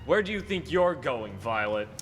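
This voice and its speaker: A man's voice: mid-range voice, sing-song, moderate pace, loud music behind the voice, natural voice. A man acting a brusque small-town policeman in a radio play. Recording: very noisy stage recording.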